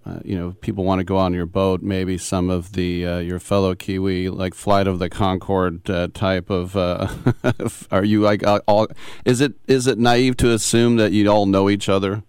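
Radio host guy, deep-ish smooth voice, dry sound, chatty and a bit fumbly. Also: little pitch movement, normal speed, darkish tone, no echo. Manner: upbeat, loose, fumbling questions. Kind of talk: radio sports talk.

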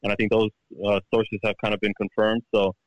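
A man in his thirties with a slightly low voice, answering casually with halting restarts. The sound is dry and close. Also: completely flat delivery, dry recording, dull audio. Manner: halting, repeats words while searching for them. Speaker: professional golfer, guest. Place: at home.

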